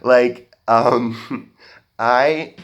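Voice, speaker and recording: Young man, American-sounding accent, earnest stage talk, halting restarts, slow pace. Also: medium pitch, fairly even intonation, lo-fi sound. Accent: general American. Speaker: speaker at a talk. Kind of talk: public talk, personal story. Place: auditorium stage.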